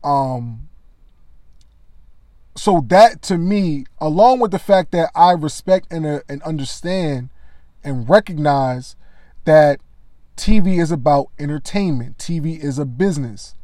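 A man with a loud voice giving casual, conversational commentary on sports media, often adding "you know what I mean.". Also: fairly high pitch, animated, a little slow, no echo, clean studio sound.

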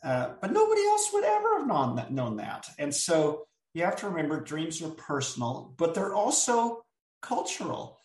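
A man who is an author, telling stories as a guest in an unhurried, thoughtful way with frequent filler pauses. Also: a little high, huge pitch swings, a little mellow. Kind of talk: reflective storytelling chat. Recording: roomy sound, distant from the mic.

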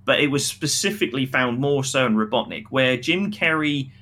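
A man's voice: mid-range voice, animated, moderate pace, bright, forceful tone. A man co-hosting a casual podcast, giving critical, opinionated takes on a film. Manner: critical, acts out imagined quotes. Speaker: podcast co-host reviewing a film.

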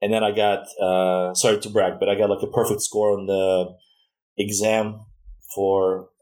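A man's voice: fairly low pitch, level tone, moderate pace, a little mellow, rough recording. A man from Russia with a slight Russian accent, speaking as a guest in a casual conversation, thoughtful and hesitant with many fillers and restarts.

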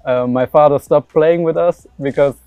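A man's voice: fairly high pitch, steady tone, moderate pace, clear, resonant tone, narrow, tinny audio. A young man from Germany answering interview questions about his football career, hesitant, with many fillers and restarts. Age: young adult.

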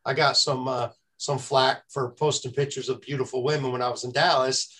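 A man's voice: medium pitch, flat delivery, fairly quick, fairly bright tone. A man hosting a podcast, talking in a conversational way with frequent stammered restarts. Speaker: podcast host. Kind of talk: casual podcast interview.

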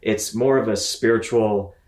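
A man's voice: fairly low pitch, steady tone, unhurried, a little mellow. A man in his thirties from Mississippi, telling his story conversationally as an interview guest, in a reflective, explanatory way. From Mississippi, United States. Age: 30s. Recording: roomy, echoing sound.

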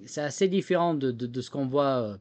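A man's voice: medium pitch, fairly quick, darkish tone. A man from France with a slight American tinge to his French accent, giving explanatory interview answers and often repeating words as he searches for the next. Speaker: technology entrepreneur and former government official, interview guest.

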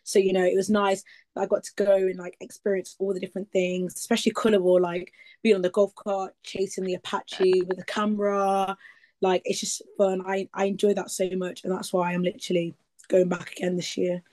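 Twenties woman, casual rambling guest, mid-pitched voice. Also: flat delivery, brisk, dark tone. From East Midlands, England. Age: 20s. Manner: chatty, rambling with many likes and ums. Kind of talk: casual interview chat.

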